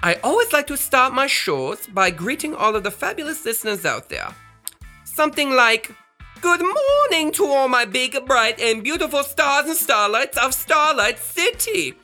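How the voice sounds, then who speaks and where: A woman's voice: high voice, big pitch swings, moderate pace, sharp tone, soft background music, exaggerated voice. A woman voicing a flamboyant, bossy, self-adoring radio star in a children's comedy audio drama, with a theatrical manner. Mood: showy, bossy. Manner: theatrical, exasperated, showy.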